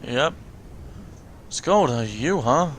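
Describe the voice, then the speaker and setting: A man's voice: medium pitch, animated, very slow, slight background noise. A man hosting a game playthrough, reading dialogue aloud playfully with joking asides. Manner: playful, puts on character voices. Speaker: let's play host. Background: video game music.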